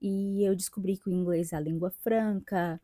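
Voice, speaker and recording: A woman in her twenties from Brazil, an English teacher and linguist, talking about her own experience in a reflective vlog with pauses and restarts. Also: medium pitch, steady tone, warm tone, no echo, clean studio sound. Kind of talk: casual personal vlog.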